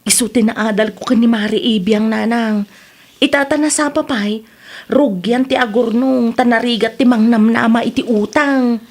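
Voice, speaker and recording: A grudging village housewife acted by a woman with a fairly high voice and a Filipino Ilocano accent. She is an envious, reluctant grumbler in a radio comedy drama. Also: fairly even intonation, moderate pace, a little mellow. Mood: resolved.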